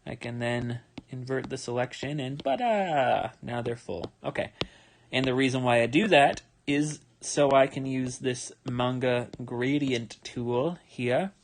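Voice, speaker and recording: A young man talks through his drawing on a livestream, tired and a little yawny. His voice sits at an ordinary middle pitch. He rambles easily, with lots of ums and half-started sentences. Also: measured pace, vocal fry, slight background noise. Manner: relaxed, rambling, with many fillers and restarts. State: tired, yawning. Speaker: manga artist streaming his drawing. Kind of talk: casual livestream commentary while drawing.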